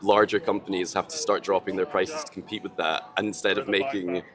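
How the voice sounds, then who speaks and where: Low-voiced man, halting. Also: flat delivery, a crowd in the background. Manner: halting, fills with "like" and "I mean". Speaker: student interviewee. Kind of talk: casual street debate.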